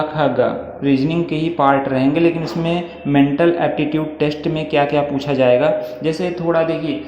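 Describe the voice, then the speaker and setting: A man's voice: fairly high pitch, darkish tone. A man explaining exam material informally like an online coaching teacher, reassuring and often checking in with listeners. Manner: reassuring, repetitive check-in phrases. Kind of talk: informal explanatory lesson, reading through a syllabus. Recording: echoey reverberant room, muffled, faint noise.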